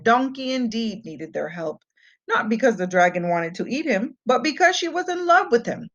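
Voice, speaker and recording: A woman reading a children's fairy tale aloud as an expressive storyteller. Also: fairly high pitch, moderate pace. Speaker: storybook reader. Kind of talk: scripted children's read-aloud narration. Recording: muffled, dull lo-fi home recording.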